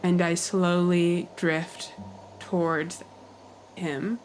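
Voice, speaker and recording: A woman playing a tabletop game, narrating her character's actions in a measured, pensive way. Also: fairly low pitch, flat delivery, slight background noise, poor audio.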